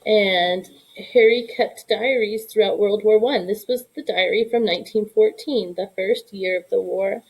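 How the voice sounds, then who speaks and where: A woman's voice: medium pitch, unhurried, poor audio. Woman reading a picture book aloud. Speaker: storybook reader.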